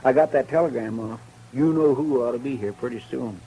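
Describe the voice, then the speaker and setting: A man's voice: medium pitch, a little fast, dark tone, slight background noise, close to an everyday voice, poor audio. A man playing a dutiful deputy sidekick in a 1950s radio western, speaking in an earnest, deferential, folksy way.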